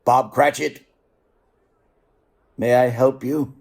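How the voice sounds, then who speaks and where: An actor playing a cold, miserly old business owner in a radio play, stern and formally polite with sarcastic menace. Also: male voice, mid-range voice, steady tone, takes it slowly, creaky, darkish tone. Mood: coldly threatening.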